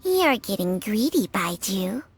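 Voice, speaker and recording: A woman's voice: mid-range voice, sing-song, unhurried. A woman voicing an ancient female snake spirit in a video game, wry and world-weary, with a dry, teasing manner. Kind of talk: scripted game dialogue. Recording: music behind the voice.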